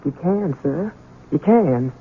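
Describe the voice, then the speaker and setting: A woman's voice: low voice, animated, a little hiss or hum. An actress playing an anxious, deferential middle-aged housekeeper and protective mother in an old-time radio mystery. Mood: anxious, guarded. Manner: humble, halting, pleading. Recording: tinny, muffled vintage radio recording.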